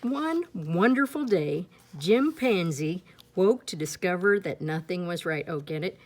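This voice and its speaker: A woman's voice: on the low side, expressive, unhurried, slight background noise. A woman reading a children's storybook aloud expressively, with casual asides spoken directly to young listeners. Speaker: storybook reader.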